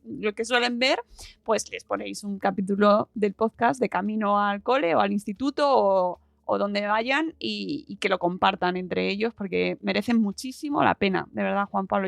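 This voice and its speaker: Woman, gravelly fry, slightly high pitch, effusive podcast host, casual chat. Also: no echo. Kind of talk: casual conversational interviewing.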